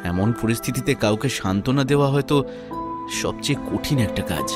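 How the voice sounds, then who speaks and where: A man narrating a scripted first-person audio story in a measured, dramatic storytelling manner. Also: mid-range voice, expressive, loud music behind the voice.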